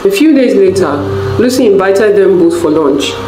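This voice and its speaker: A woman's voice: medium pitch, lively intonation, normal speed. A woman reads a scripted folktale as a storyteller. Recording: roomy echo, music bed. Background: background music.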